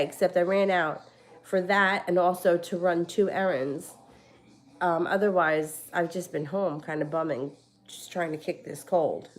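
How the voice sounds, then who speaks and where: A woman in her thirties with a slightly low voice, stuffy and congested from a cold. She reads tarot cards in a loose, rambling, chatty way, full of fillers and false starts. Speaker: tarot reader. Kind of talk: casual live-stream tarot reading. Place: home.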